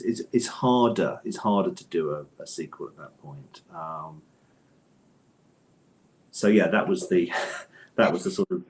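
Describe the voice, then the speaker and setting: A man's voice: deep voice, darkish tone, poor audio. A man speaking as an interviewed author in a conversational, discursive way, often interrupting himself and repeating words.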